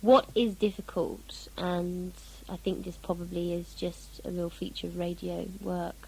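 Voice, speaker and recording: A woman's voice: fairly low pitch, flat delivery, unhurried, vocal fry, a little mellow, slight background noise, low-quality recording. A woman, an actress being interviewed casually about her work, with a jokey, self-mocking manner. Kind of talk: casual behind-the-scenes interview.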